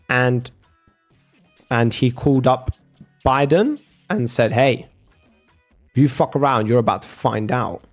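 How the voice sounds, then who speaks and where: Sarcastic gravelly man ranting. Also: medium pitch. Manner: sarcastic, swears, calls listener bro. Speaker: streamer arguing with a chat viewer. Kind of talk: casual argumentative rant.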